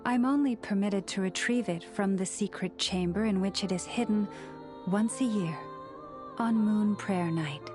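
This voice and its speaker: A woman playing a young moon priestess in a video game, solemn, gentle and earnest, speaking in a measured, formal, reverent way. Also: medium pitch, over music, lightly acted. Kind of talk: video game cutscene acting.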